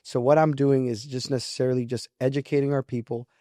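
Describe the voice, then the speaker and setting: Mellow young man, very dry close sound. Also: medium pitch, little pitch movement, quick pace, dry recording. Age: early 20s. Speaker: podcast guest, real estate agent. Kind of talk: casual interview talk, explaining his approach.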